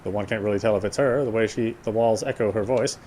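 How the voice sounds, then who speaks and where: A man's voice: fairly low pitch, fairly even intonation, fast, music in the background. A man reading a horror visual novel aloud as playthrough host, brooding and occasionally stumbling over words. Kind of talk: dramatic read-aloud narration of a horror game. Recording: clear background noise.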